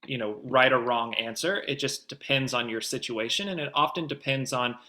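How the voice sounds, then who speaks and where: A man's voice: mid-range voice, moderate pace, bright, forceful tone. A man giving conversational, explanatory advice as a guest producer in an online Q&A.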